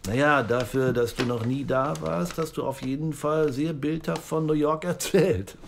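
Businesslike, analytical writer. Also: male voice, a little high, steady tone, moderate pace. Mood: curious, friendly. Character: keen, analytical writer developing a book story. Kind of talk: naturalistic German audio drama acting. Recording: clear background noise.

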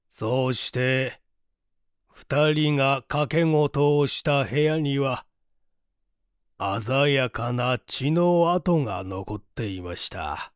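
A man voicing a polite male client recounting a family scandal in measured storytelling, in an audiobook mystery. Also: on the high side, darkish tone, clear, resonant tone, dry recording, studio quality.

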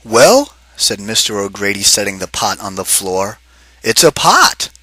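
A man's voice: on the low side, very animated, unhurried, sharp tone, husky, dry recording, full character voice. A man voicing a plain old farmer. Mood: matter-of-fact. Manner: raised, storybook character voice.

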